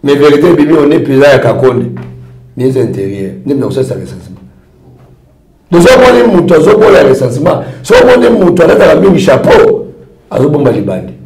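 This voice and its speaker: A man from Congo, a political party leader, answers an interviewer's questions. His voice sits a little high, and he loops back over the same phrases. The room around him echoes noticeably. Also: big pitch swings, fairly quick, crowd noise. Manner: rambling, repetitive phrasing. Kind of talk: interview answers mixing French and Lingala.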